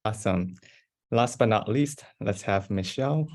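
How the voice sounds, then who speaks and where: A young man hosting an online panel discussion speaks in a fairly low voice. He is polite and eager, and he fills his pauses with "um.". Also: a little mellow. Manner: polite, eager, many fillers. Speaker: panel moderator.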